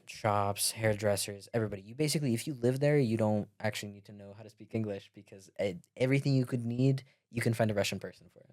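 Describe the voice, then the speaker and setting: A man from Latvia, a podcast guest, talking casually in a relaxed, unhurried way with many pauses. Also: lowish voice. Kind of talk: casual conversation.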